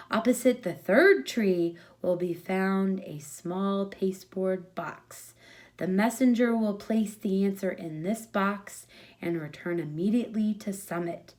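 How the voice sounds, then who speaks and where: A woman's voice: mid-range voice, a little mellow. Woman narrating a short story.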